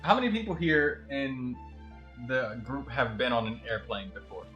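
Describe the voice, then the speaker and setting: A man chatting casually as a game streamer, telling a story in a rambling, halting way. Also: mid-range voice, very animated, a bit bright, lo-fi sound, faint music behind. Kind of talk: casual livestream chat.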